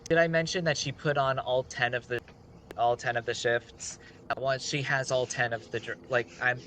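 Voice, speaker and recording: A man's voice: mid-range voice, fairly even intonation, moderate pace, sharp tone, slight background noise. A man telling a story casually on a live stream, rambling with conversational asides.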